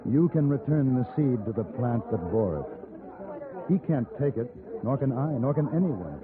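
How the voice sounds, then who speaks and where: Male actor as American gunfighter hero, calm, wry, old radio Western, tinny recording. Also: medium pitch, normal speed, a crowd in the background, natural voice. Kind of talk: 1950s radio drama acting and narration.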